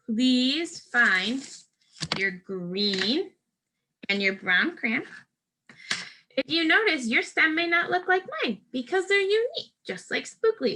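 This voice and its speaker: Bright, high-voiced woman teaching young children. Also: a little hiss or hum. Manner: instructional, simple patient phrasing, talking directly to children. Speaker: first grade teacher. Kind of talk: step-by-step craft lesson for young children.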